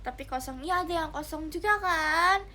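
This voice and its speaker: A woman's voice: very high-pitched, moderate pace, bright, forceful tone, slight background noise. A young woman, chatting casually and giggly with viewers on a livestream, addressing them directly. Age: young adult. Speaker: idol livestreamer.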